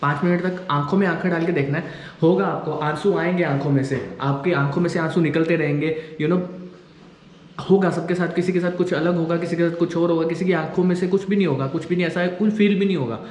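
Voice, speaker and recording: A man's voice: a little high, steady tone, quick pace, darkish tone. A young man with an Indian accent giving informal instructional tips in a casual vlog, switching between two languages. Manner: informal, explanatory. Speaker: vlogger giving acting tips. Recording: echoey room with background noise.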